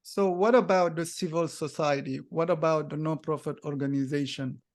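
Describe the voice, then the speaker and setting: A man interviewing a guest, asking slow, deliberate questions with many fillers. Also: on the high side, steady tone.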